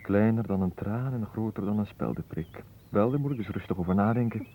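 An actor playing an earnest, slow-witted lovesick suitor in a radio play, hesitant, plaintive and easily hurt. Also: male voice, fairly low pitch. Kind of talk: Dutch radio play acting. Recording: muffled, noisy old radio recording.

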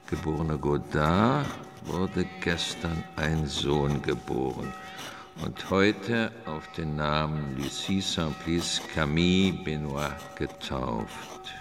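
Very deep, slow, solemn proclaimer. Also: male voice, big-room sound, exaggerated voice. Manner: formal, ceremonial. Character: solemn man proclaiming a dated baptism record. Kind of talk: radio drama proclamation.

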